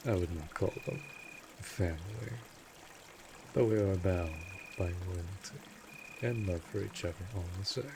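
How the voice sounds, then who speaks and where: A man playing a cold, possessive vampire master in an audio drama, speaking in a formal, commanding, measured way. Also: deep voice, creaky, noisy background. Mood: haughty, measured. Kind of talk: scripted roleplay audio drama.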